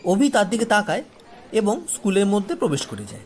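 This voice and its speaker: A man narrating a scripted horror story as a storyteller, delivering it dramatically and deliberately, phrase by phrase. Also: sits high, big pitch swings, slight background noise. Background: faint background music.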